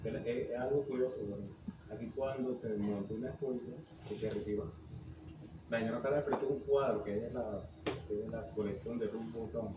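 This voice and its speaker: Male interviewer, hesitant; distant mic, echoey room, clear background noise. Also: mid-range voice, fairly even intonation, moderate pace. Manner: hesitant, repeats words before asking. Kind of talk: casual questioning. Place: museum gallery, indoors.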